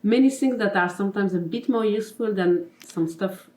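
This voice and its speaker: A woman's voice: mid-range voice, normal speed, darkish tone, echoey room. A woman from Geneva with a French accent, a confidence and life coach chatting casually as an interview guest, laughing often and filling with "you know" and "like". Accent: French-speaking Swiss. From Geneva, Switzerland.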